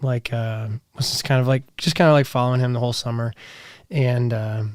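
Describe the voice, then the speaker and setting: Hoarse American man hosting a livestream. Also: mid-range voice, steady tone, fairly quick, no echo, clean studio sound. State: slightly hoarse voice. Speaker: livestream host. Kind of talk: casual live chat, reading viewer comments.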